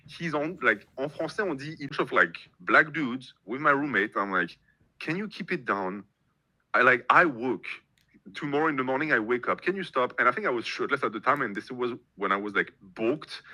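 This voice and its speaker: Bright, husky-voiced man telling stories. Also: mid-range voice, poor audio. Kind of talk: casual storytelling chat.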